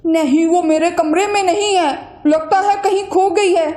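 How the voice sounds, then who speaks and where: An eager young boy voiced by a woman from a children's moral tale. The voice is high and exclaiming, full of wishful excitement, with an echo around it. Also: a little slow. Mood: worried. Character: excitable, hopeful young boy who finds magic. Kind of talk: children's moral story character voice. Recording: roomy echo.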